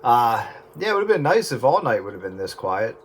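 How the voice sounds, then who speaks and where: A man's voice: medium pitch, sing-song, moderate pace. A man, a radio hobbyist, chatting casually with others over a two-way radio. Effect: two-way radio transmission. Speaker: radio hobbyist who records the sessions. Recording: CB radio signal with faint static.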